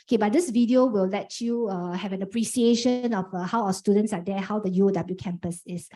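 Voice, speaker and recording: A woman's voice: medium pitch, a little fast, dark tone. A woman presenting a programme briefing, explaining step by step with many "okay" fillers. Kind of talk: information briefing.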